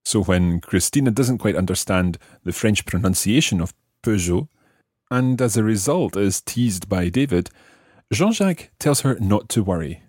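Low-voiced man teaching, husky edge. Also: lively intonation, darkish tone, no room sound, studio quality. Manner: didactic, repeats phrases deliberately. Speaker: language lesson presenter. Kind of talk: explaining French grammar phrase by phrase.

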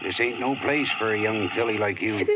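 A man's voice: on the low side, fairly quick, natural voice. A man playing a folksy rancher stepfather with a coaxing drawl in a 1940s radio drama. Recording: tinny, faintly hissy radio broadcast.

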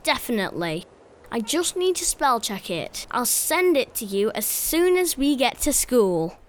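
Eager young boy for a kids' audio play: high, animated, earnest. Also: unhurried, slight background noise. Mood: casual, confident. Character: cheerful, eager young schoolboy who loves Christmas. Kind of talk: children's audio drama acting.

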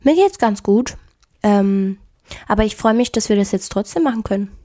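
A woman's voice: medium pitch, lively intonation, moderate pace, warm tone, dry recording, studio quality. A woman who works in radio joins the podcast remotely from home and asks casual, direct questions. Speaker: radio host.

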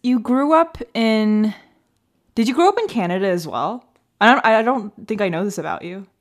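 A woman in her twenties hosting a podcast interview, relaxed and friendly, sharing her own stories. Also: mid-range voice, normal speed. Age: 20s. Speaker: podcast host.

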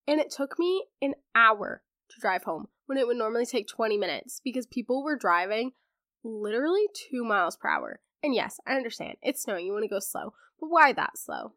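A woman's voice: a little high, lively intonation, brisk, a bit bright, dry recording, studio quality. Congested teenage girl chatting sarcastically. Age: teens. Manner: chatty and sarcastic, many fillers. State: congested, recovering from laryngitis. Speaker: podcast host. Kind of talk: casual chat.

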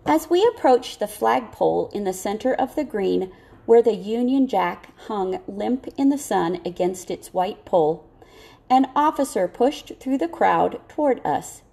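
Female audiobook reader for children's fiction, measured storytelling delivery, noticeable vocal fry. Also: mid-range voice, animated, warm tone. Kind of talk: scripted narration of a children's novel.

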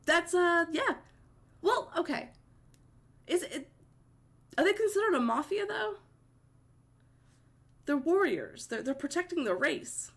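A woman's voice: very high-pitched, very animated, slow, pauses often, fairly bright tone. A woman hosting a podcast, slightly congested from a cold, chatting with easy enthusiasm. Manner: chatty, enthusiastic, laughs easily. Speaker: podcast host. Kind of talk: casual chat.

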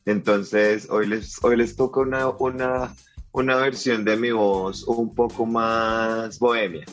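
A man co-hosting a casual podcast, hoarse and congested, with a campy, playful way of talking. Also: fairly low pitch, steady tone, slow, soft background music. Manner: campy, playful, uses feminine slang. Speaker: podcast co-host.

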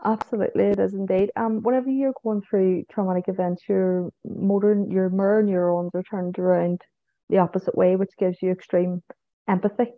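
A woman's voice: mid-range voice, steady tone, normal speed, no room sound. A woman with a Northern Irish accent, a guest chatting casually in an interview, who repeats herself and tags sentences with "you know" and "so it is". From Northern Ireland. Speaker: podcast guest, psychic medium. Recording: tinny phone-like line.

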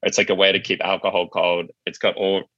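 A man's voice: low-pitched, level tone, a little fast, bright, forceful tone, no room sound, highs cut off. A man speaking as an interview guest in a casual, informal way, explaining things as he thinks aloud. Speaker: podcast guest, marketing agency founder.

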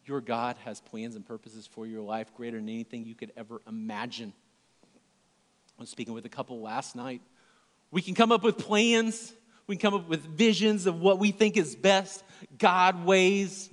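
Preaching man in a reverberant hall. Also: on the high side. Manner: earnest preaching with wide swings in loudness, dropping to near-whispers. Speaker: pastor. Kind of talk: sermon.

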